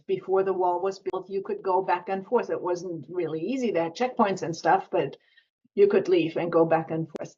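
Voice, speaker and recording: A woman in her sixties from West Berlin with a German accent, telling her life story as an interview guest in a relaxed, explanatory way. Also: medium pitch, slightly rough, muffled recording. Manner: explanatory, with frequent restarts and filler "yeah". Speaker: guest, author and entrepreneur. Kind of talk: conversational storytelling.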